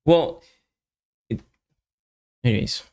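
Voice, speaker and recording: A man giving casual live coding commentary, thinking aloud as a software developer in halting, muttered fragments with long pauses.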